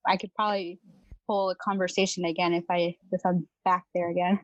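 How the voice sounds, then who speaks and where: A woman's voice: fairly low pitch, flat delivery, a little fast, dry recording, dull audio. Young woman from Venezuela, casual and chatty podcast guest, dry close recording. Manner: chatty, laughs often, many fillers. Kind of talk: casual chat.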